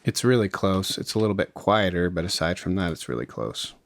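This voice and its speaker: A man narrating a casual, step-by-step music software tutorial in an informal, explanatory way. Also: low-pitched, animated, brisk, gravelly. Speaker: music production tutorial host.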